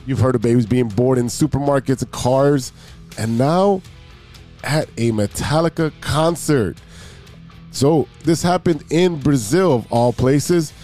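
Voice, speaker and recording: A man's voice: mid-range voice, unhurried, dark tone, faint music behind. A man hosting a casual sports and news podcast, sounding nasal and stuffy from allergies, rambling and joking with restarts. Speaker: podcast host.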